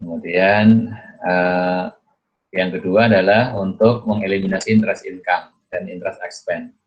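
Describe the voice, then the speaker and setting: Male lecturer, deep voice, halting and repetitive explainer delivery, dull lo-fi recording. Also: steady tone. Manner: halting, repetitive, punctuates phrases with a checking tag. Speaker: accounting lecturer. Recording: dull, muffled lo-fi audio.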